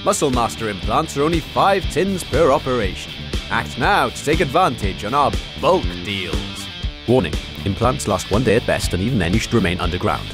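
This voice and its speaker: A man's voice: medium pitch, big pitch swings, moderate pace, music in the background, lightly acted. A man playing a pushy, declamatory announcer in a parody wasteland radio commercial. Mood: hard-selling. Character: brash, hard-selling wasteland advert announcer.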